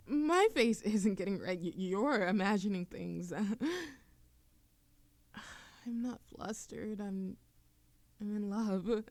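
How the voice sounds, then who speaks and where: A woman acts out a young witch in love, affectionate and playful but easily flustered, in an intimate romantic audio roleplay. Her delivery is slow, soft and halting, with a teasing edge and a creaky texture. The sound is very dry, with no room around the voice. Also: medium pitch, no room sound. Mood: flustered, loving.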